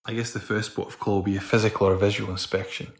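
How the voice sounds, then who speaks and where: A Scottish man from Scotland, a guest answering interview questions in a plain, measured way. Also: fairly low pitch, level tone, creaky. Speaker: guest, operations manager.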